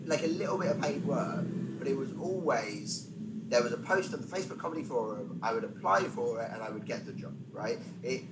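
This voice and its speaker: A male stand-up comedian chats as a podcast guest, spinning anecdotes in a self-deprecating way. His voice sits at an average pitch for a man, and he drops in wry asides as he goes. Some background noise runs under the recording. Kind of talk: conversational comic anecdote telling.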